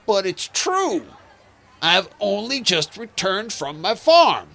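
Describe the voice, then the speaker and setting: A man's voice: high-pitched, sing-song, takes it slowly, vocal fry, pushed and bright, heavily acted. A man playing a defensive farmer protesting his innocence in a comic audio drama, emphatic and theatrical.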